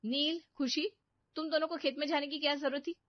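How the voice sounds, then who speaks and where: A woman's voice: high voice, fairly even intonation, brisk, no echo, dull audio, pitched above the performer's own voice, close to an everyday voice. A woman playing a patient, polite young daughter-in-law and mother in a cartoon story. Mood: scolding.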